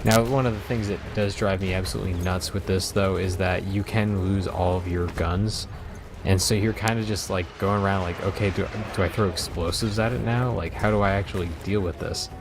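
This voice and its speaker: A man's voice: deep voice, fairly even intonation, a little fast, background noise. A man hosting a let's play, chatting casually as he comments on gameplay. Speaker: host of a video game let's play.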